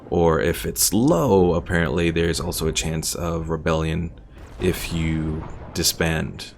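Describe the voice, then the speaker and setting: Deep-voiced man streaming a game. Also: takes it slowly, creaky, slight background noise. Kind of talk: casual live game commentary, reading out chat.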